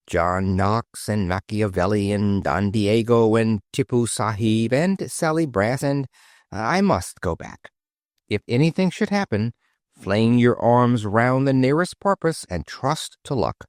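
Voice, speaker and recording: A man's voice: lowish voice, animated, a little slow, no echo, clean studio sound. A man reading aloud, voicing a young princess who speaks with sighing, confiding warnings. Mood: wary confiding. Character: young princess, weary and knowing.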